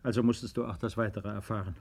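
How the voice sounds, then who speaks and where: Principled estate-owning father, middle-aged. Deliberate, earnest, unbending delivery for a 1950s radio drama. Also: fairly low pitch, fairly even intonation, vocal fry, a little mellow, slightly rough. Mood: calm, earnest. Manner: measured, earnest, deliberate phrasing.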